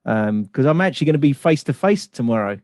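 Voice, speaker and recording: A man hosting a podcast interview over a video call from home. He is friendly and chatty, with loose phrasing, and his voice sits at an ordinary middle pitch. The sound is dry and close, with no room echo. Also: animated, fast talker, a little mellow. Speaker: podcast host. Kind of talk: casual interview chat. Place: home in London.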